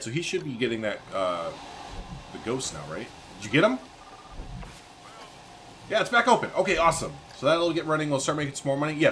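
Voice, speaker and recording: A man's voice: fairly low pitch, a bit bright. A man hosting a let's-play video, chatting informally and thinking aloud as he plays. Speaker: gaming video host. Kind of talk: casual let's-play commentary. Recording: faint background noise and game ambience.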